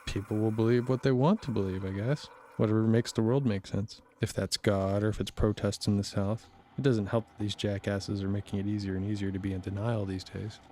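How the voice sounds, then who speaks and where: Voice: low voice, fairly even intonation, fairly quick, few pauses, mellow, a little hiss or hum, close to an everyday voice. A performer playing a cynical, jaded computer hacker who lives off-grid, talking in a dry, blunt, casual way in an audio drama. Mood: cynical, irritated. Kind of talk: naturalistic audio drama dialogue.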